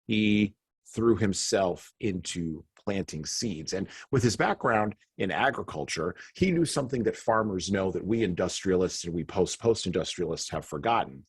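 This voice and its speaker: Male podcast host, deep and breathy, reflective talker. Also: lively intonation, normal speed, dry recording. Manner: reflective, laughs between points. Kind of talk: conversational podcast discussion.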